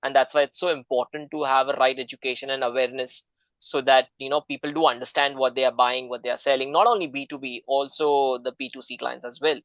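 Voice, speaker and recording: A man moderates an online seminar, polite and a little hesitant, with frequent fillers. His voice comes through smooth and clear. The call audio is dry and somewhat dull. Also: medium pitch, steady tone, quick pace, fairly bright tone, dry recording. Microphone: video call.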